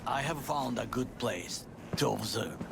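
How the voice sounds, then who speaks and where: An actor playing a solemn, formal, loyal Japanese bodyguard of middle age in a video game, with a Japanese accent and measured, stiff phrasing. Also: male voice, mid-range voice, lively intonation, gravelly, background noise. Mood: measured, watchful. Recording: music behind the voice.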